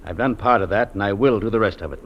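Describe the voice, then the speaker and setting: An actor playing a stern, unyielding Mountie sergeant, speaking curtly in 1950s radio drama style. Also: male voice, fairly low pitch, fast talker, close to an everyday voice. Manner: curt, stern, blunt. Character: hard, unyielding Mountie sergeant, adult man. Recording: dull, noisy old radio recording.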